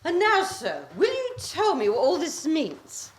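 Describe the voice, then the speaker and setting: An actress plays a roomy-sounding, imperious mother in a radio farce, a little off the microphone. Her high voice is haughty, swooping and melodramatic. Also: huge pitch swings, a little slow, very noisy, exaggerated voice. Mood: outraged. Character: imperious, easily outraged mother and lady of the house. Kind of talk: stage farce radio play acting. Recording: roomy echo, distant from microphone.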